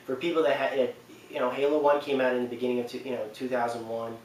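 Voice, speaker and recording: A man's voice: medium pitch, level tone, fast, darkish tone. Male countdown host, informal unscripted delivery, roomy echo, slight background noise, mic at a distance. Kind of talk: casual unscripted commentary. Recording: echoey room, distant mic, faint noise.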